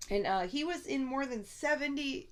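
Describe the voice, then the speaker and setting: A woman's voice: sits high, lively intonation, normal speed. Stuffy-nosed woman co-hosting a chat. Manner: chatty, reads out viewer comments. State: stuffy nose. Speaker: co-host. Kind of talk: casual live-stream chat.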